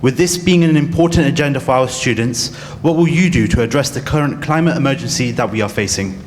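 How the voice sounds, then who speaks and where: A man's voice: medium pitch, fast talker. A male student reading a prepared question to a panel, plain and polite. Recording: echoey hall with faint hum.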